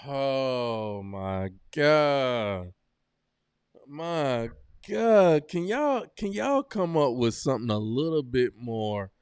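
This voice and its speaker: A man's voice: on the high side, darkish tone, clear, resonant tone, no room sound, studio quality. Exasperated man reacting to a clip. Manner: exasperated, slangy asides over a clip. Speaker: reaction video host. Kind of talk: casual reaction commentary.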